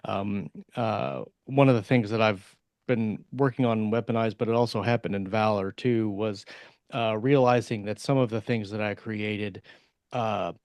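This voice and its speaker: A man's voice: on the low side, fairly even intonation, normal speed, darkish tone, dry recording. A man co-hosting a casual podcast, telling anecdotes about his own writing in a self-deprecating way. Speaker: podcast co-host and author.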